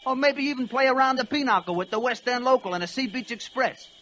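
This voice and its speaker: A man's voice: very high-pitched, fast, a bit bright, a little hiss or hum. An actor playing a slangy, plaintive, lovelorn male locomotive with tough-guy grammar, in 1940s radio style. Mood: dreamy, wistful.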